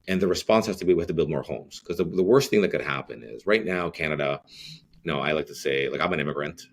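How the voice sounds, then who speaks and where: Very deep-voiced man, rambling. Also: fast, slight background noise. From Greece. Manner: rambling, many fillers and restarts. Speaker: real estate CEO guest. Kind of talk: podcast interview.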